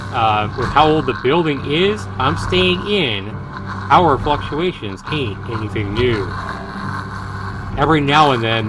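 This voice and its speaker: A man live-streaming a visual novel, reading it aloud in a dramatic, deliberate way with casual commentary. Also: medium pitch, noisy background. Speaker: game streamer.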